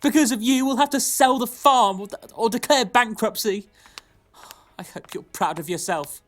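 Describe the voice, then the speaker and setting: A woman playing a sharp-tongued, exasperated mother with a sarcastic manner in a pantomime audio drama. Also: a little high, moderate pace, close to an everyday voice. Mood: scornful, angry. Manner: sarcastic, scolding.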